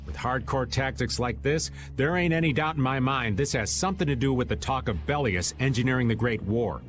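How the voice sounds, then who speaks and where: A man's voice: medium pitch, fairly quick, faint music behind, natural voice. A man playing an easygoing but wary male adventurer in a video game, with wry, folksy phrasing. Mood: pondering. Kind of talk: video game cutscene voice acting.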